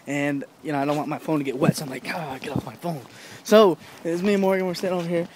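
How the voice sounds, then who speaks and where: A man's voice: fairly high pitch, steady tone, fast, heavy background noise. A young man vlogging casually to his phone camera, rambling with lots of fillers and restarts. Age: young adult. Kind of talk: casual vlog chat to camera. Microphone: phone at arm's length.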